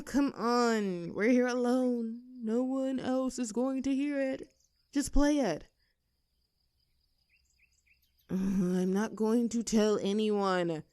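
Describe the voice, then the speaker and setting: A woman's voice: fairly high pitch, slow pace, a little mellow, dry recording. A young woman playing a rebellious teenage girl bully in an audio roleplay, with a sarcastic, teasing, brash manner. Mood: pushy teasing. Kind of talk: scripted roleplay audio drama.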